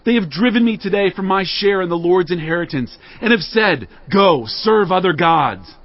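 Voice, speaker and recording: Earnest warrior leader, righteous and declamatory. Higher register, audio Bible drama, slightly dull sound. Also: male voice, expressive, moderate pace. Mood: impassioned pleading. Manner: declamatory, emphatic.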